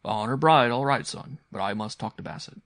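A man's voice: medium pitch, brisk, gravelly, fairly bright tone, no room sound, clean studio sound. A man playing a jovial, teasing uncle in a story, hearty, amused and a little patronising.

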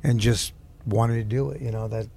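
A man's voice: on the low side, fairly even intonation, moderate pace, vocal fry, darkish tone, slightly rough. A man from Southern California, a guest musician in a casual interview, rambling and searching for words. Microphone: handheld mic held close.

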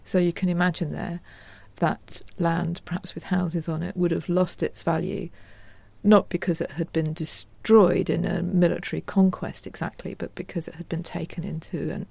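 A woman historian explaining her subject as an interview guest in a measured, scholarly manner. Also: fairly low pitch, flat delivery, vocal fry, a little mellow, breathy edge, no echo.

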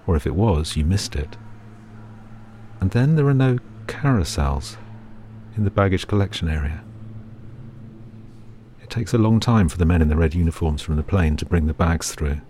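A British man reads a second-person audio drama as narrator, in a measured, understated and uneasy storytelling manner. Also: low voice, expressive, mellow, a little hiss or hum. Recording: music bed behind the voice. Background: music.

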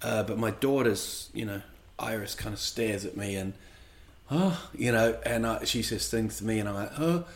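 Halting man in a roomy room. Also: lowish voice. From England or New Zealand. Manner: halting, searching for words, frequent agreeing interjections. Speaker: comedian co-host. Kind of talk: casual chat. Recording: roomy echo.

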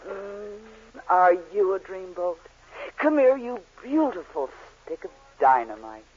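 Gushing, flirty gold-digger, swooping pitch. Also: female voice, huge pitch swings, a little mellow, exaggerated voice. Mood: seductive, gushing. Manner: coy, cooing, drawn-out endearments. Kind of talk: 1940s radio comedy acting. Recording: muffled, scratchy 1940s radio recording.

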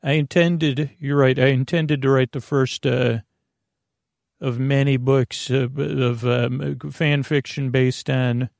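A man with a creaky voice hosting a bedtime podcast, soothing and whimsical, meandering and halting as he interrupts himself. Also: mid-range voice, steady tone, a little mellow, no echo, studio quality. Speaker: podcast host. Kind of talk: rambling bedtime monologue.

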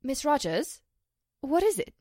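A woman's voice: high voice, big pitch swings, unhurried, warm tone. A woman playing a polite, dramatic famous actress in a 1940s-style radio drama. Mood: anxious.

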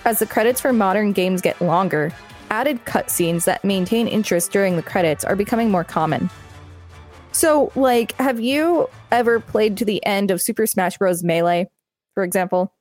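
A woman's voice: mid-range voice, normal speed, a little mellow, faint music behind. A woman co-hosting a podcast, reading an encyclopedia article aloud and adding casual asides. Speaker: podcast co-host.

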